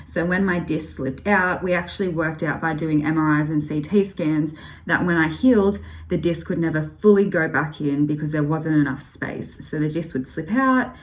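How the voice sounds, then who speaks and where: Low-voiced young Australian woman. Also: darkish tone. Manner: candid, earnest, with fillers. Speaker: vlogger. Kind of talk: personal health story vlog.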